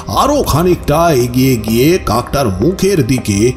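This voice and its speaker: A man playing a village storyteller recounting his own eerie encounters, deliberate and suspenseful, in an audio horror drama. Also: medium pitch, sing-song, darkish tone. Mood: ominous, suspenseful. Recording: music bed under the voice. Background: music.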